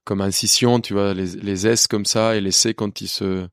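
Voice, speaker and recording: Man, 30s, faint colombian accent, lower voice, lively storytelling, restarts a lot, dry close sound. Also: normal speed, no echo. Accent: Colombian Spanish, faint. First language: Spanish. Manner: lively, many restarts and hesitations. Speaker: chef guest. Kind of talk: candid storytelling conversation.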